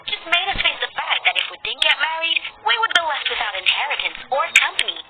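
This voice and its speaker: A woman's voice: high voice, very animated, a little fast, heavy background noise. A young woman reading a scripted first-person cartoon story in a dramatic, exclamatory way. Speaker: story narrator. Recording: loud music under the voice. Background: loud music.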